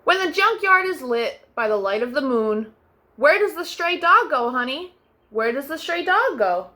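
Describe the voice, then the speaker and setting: A young woman hosting a children's storytime, reading picture books aloud in a gentle sing-song way with soft, drawn-out refrains. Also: sits high, big pitch swings.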